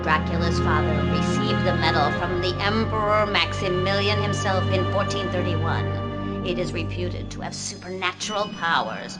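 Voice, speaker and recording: A woman voicing a calm, knowing female magician in a game cutscene, measured and instructive. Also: on the low side, lightly acted. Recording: game music behind.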